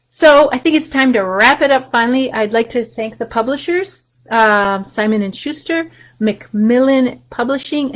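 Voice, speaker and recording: A woman's voice: mid-range voice, poor audio. A woman hosting a story time for young children, reading aloud and chatting casually in simple, encouraging language.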